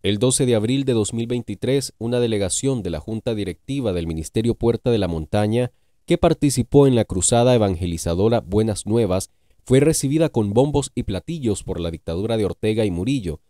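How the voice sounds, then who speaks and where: A man reading scripted news narration in a formal, measured way. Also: lowish voice, lively intonation, warm tone, no room sound, studio quality.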